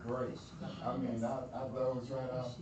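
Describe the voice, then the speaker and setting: A man in the audience telling his story in a candid, halting way. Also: mid-range voice, little pitch movement, highs cut off. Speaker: audience member. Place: community room. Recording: distant echoey room with loud noise.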